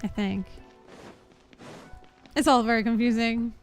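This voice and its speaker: A woman's voice: fairly high pitch, fairly even intonation, slow. A young woman giving casual live gameplay commentary as a streamer, rambling and thinking aloud. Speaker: game streamer. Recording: faint game music behind voice. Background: game music.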